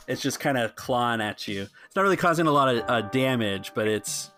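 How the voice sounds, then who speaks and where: A man's voice: medium pitch, lively intonation, brisk, fairly bright tone. A man acting as game master, narrating an improvised tabletop game in a conversational, explanatory way. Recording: music playing behind the voice. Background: music.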